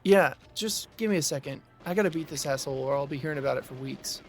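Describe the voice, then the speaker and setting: Man playing cocky young gamer brother, slightly high voice, casual wisecracks, audio drama. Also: quick pace, a little hiss or hum, lightly acted. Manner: casual, bantering.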